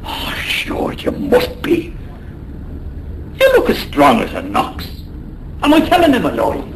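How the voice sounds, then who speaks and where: A rough but tender-hearted Irish sailor in a radio play. His colloquial, blustery voice is gravelly and sits a little high. It rings in a roomy space over faint noise. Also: wildly swooping pitch, unhurried, recorded from a distance, heavily acted. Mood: worried, soothing. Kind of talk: radio drama acting.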